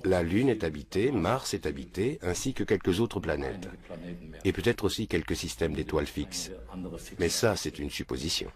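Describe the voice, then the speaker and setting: A man's voice: low voice, lively intonation, moderate pace, a crowd in the background. A man answering interview questions in a matter-of-fact, assured way.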